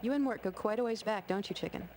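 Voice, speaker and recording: A woman's voice: medium pitch, fast, darkish tone, natural voice. A woman voicing a perky, inquisitive cartoon hen who co-anchors a mock TV news show. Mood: perky questioning. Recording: noisy game audio from a stream.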